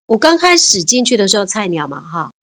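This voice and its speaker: A woman's voice: medium pitch, sing-song, fairly quick. A woman, talking reflectively as an interview guest and laughing easily. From Taiwan. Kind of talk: casual interview chat.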